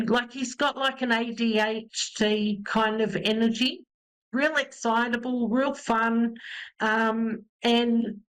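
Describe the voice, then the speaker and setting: A woman's voice: on the high side, smooth, poor audio. Chatty woman with a head cold. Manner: rambling, many ums and restarts. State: has a cold, snuffly. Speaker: psychic medium host. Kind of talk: live call-in reading.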